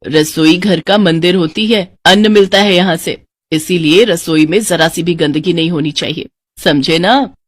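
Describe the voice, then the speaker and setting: A woman's voice: on the low side, a little slow, dry recording. Woman acting a feisty, scolding Indian mother. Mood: playful huffy. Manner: scolding, dramatic, bossy. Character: feisty, house-proud middle-aged Indian mother, quick to scold.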